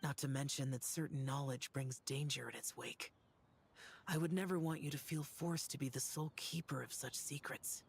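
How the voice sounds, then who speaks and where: A woman voicing a gentle, caring, composed companion with thoughtful, formal speech in a video game. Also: extremely low pitch, fairly even intonation, normal speed, gravelly, a little hiss or hum. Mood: earnest, caring.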